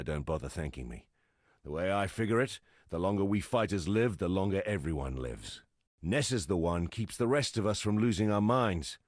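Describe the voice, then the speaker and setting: An actor playing a gruff, weary exile fighter in a fantasy video game, a plain-spoken, wry camp leader with a gravelly voice. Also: male voice, low-pitched, no echo, clean studio sound. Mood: gruff, brusque, then fond, wry. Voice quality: gravelly, husky. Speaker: non-player quest character.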